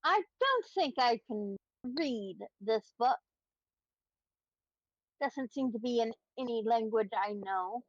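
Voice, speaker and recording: High, dry-sounding woman on muffled mic. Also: lively intonation, slow pace, pauses often, no room sound. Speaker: tabletop game player. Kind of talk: in-game roleplay chat.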